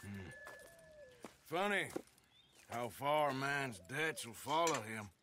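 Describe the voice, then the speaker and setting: A man playing a gruff, threatening Old West debt collector with an American accent in a dramatic video game. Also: medium pitch, expressive, slow, background noise. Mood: menacing, dry. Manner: drawling, impatient, threatening.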